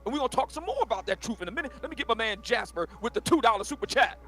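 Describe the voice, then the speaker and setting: A man's voice: very high-pitched, lively intonation, racing. A man in a played clip giving a boastful, preachy monologue. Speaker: dating commentator. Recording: clip with faint music.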